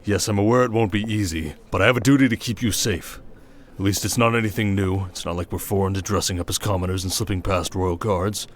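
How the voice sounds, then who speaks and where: Man acting as a proud low-voiced king over noisy ambience. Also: fairly even intonation, fairly quick, natural voice. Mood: urgent, resolute. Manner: commanding, sardonic. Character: proud, protective young warrior king and devoted husband. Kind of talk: dramatic fantasy ASMR roleplay.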